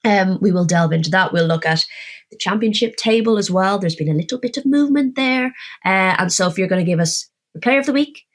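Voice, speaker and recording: A woman's voice: mid-range voice, a little fast. Congested woman hosting a football podcast. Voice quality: nasal. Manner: chatty, self-mocking, reads out scores. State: congested, croaky voice. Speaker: podcast co-host. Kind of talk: casual football podcast chat.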